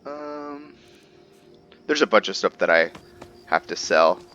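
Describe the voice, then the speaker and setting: A man with a very low, bright voice commentates casually on a game. He speaks slowly and haltingly, pausing as he thinks aloud. Faint music plays underneath. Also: lively intonation, highs cut off. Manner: halting, thinking aloud with long hesitant pauses. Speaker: gamer host of a roleplay series.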